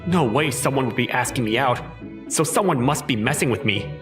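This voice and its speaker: A man playing an exasperated, awkward teenage schoolboy, telling his story in an expressive, incredulous manga dub style. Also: medium pitch, fairly quick, lightly acted. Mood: wry narrating. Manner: expressive, incredulous, self-talking. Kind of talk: manga dub narration and dialogue. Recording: music behind the voice.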